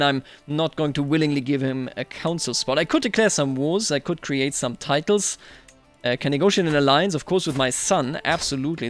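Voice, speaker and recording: Higher-pitched man narrating a strategy game. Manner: thinks aloud, slips into theatrical address to characters. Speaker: gaming video host. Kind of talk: live roleplay commentary over a strategy game. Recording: music behind the voice. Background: game music.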